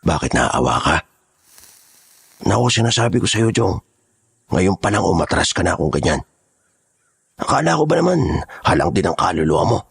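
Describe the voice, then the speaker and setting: A man playing a menacing older kidnapping gang boss in an audio horror drama, raspy, commanding and threatening. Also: deep voice, very animated, normal speed, long pauses, heavily acted. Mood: taunting. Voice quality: raspy, gravelly.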